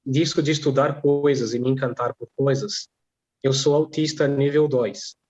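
Male guest, non-native speaker, earnest and halting, slightly muffled audio. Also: medium pitch, steady tone, a little mellow. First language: not Portuguese. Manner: earnest, halting, repeats words. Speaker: guest, language teacher and singer. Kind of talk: interview answers.

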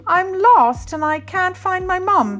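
Storybook lost animal boy, played by a woman: very high, polite, anxious, pleading over soft background music. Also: animated, unhurried, full character voice. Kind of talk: children's storybook reading.

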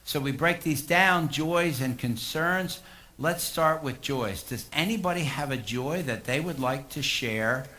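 A man's voice: mid-range voice, measured pace, sharp tone. A man speaking as a pastor, leading a church service and addressing the congregation.

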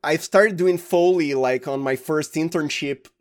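Brazilian male guest, Portuguese native, slightly high voice, halting conversational delivery with frequent restarts. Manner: halting, restarts phrases often, chuckles. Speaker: sound designer, interview guest. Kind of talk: casual interview chat.

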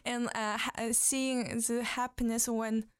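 A woman's voice: on the high side, level tone, unhurried, smooth. A young woman from Kazakhstan, a native Kazakh speaker, talking in a casual interview with many fillers and restarts. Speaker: guest.

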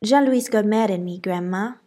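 A woman performs a spoiled young boy with a high, whining voice that jumps up into loud bawls. He is a tattletale who loves to needle his cousin. The tone is a touch breathy, as in a read-aloud storybook. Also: expressive, moderate pace. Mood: bawling. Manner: whiny, loud and bawling.

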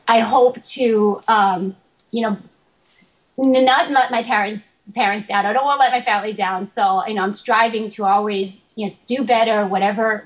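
Thoughtful young woman, halting. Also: mid-range voice, low-quality recording. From Boston, United States. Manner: thoughtful, many fillers and restarts. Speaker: interview guest, martial artist. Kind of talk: casual interview talk.

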